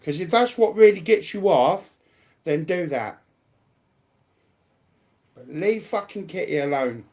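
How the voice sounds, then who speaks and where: A man with a fairly high-sitting voice for a male speaker argues in an informal, unscripted way. He is blunt and indignant, driving each point home with emphasis. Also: animated, a little slow, long pauses, darkish tone.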